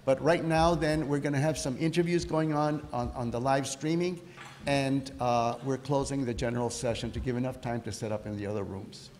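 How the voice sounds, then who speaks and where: A man hosting a conference session, switching between Spanish and English and putting brisk, direct questions to students. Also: medium pitch, steady tone, darkish tone, a little hiss or hum. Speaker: event host and interviewer. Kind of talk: bilingual event announcements and interviewing. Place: conference hall.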